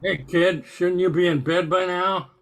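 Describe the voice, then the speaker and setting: An older man playing an impatient, gravelly crook disguised as Santa in an amateur radio play. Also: sits high, steady tone, unhurried, fairly bright tone. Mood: impatient, grudging.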